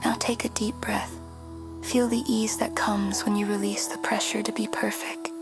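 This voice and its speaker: A woman in a whisper, guiding a meditation in a slow, soothing way. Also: mid-range voice. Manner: slow, soothing, flat delivery. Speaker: meditation guide. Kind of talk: guided meditation. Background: music.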